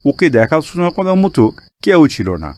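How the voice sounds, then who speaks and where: A man's voice: fairly high pitch, very animated, normal speed, mellow, dry recording, studio quality. A man narrating a scripted children's cartoon story as a storyteller.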